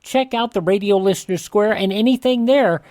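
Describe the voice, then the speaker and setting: Higher-pitched American male radio host. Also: moderate pace, a little mellow, no room sound. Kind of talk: chatty radio sign-off with plugs.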